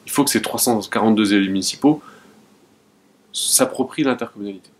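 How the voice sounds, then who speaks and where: Male mayor, thirties, lowish pitch, rapid delivery, interview setting. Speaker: mayor and local council president. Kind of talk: interview testimony.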